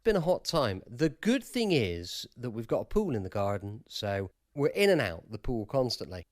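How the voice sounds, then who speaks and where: A man's voice: medium pitch, a little mellow, no echo, clean studio sound. An English man hosting a podcast with a casual, chatty introduction. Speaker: podcast host.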